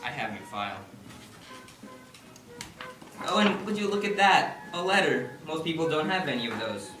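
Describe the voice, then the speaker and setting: A man's voice: on the high side, very animated, fairly quick. A snippy, officious male secretary, played by an actor on stage, condescending and clipped. He is heard from far off in an echoey space, with music behind him. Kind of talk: stage play acting.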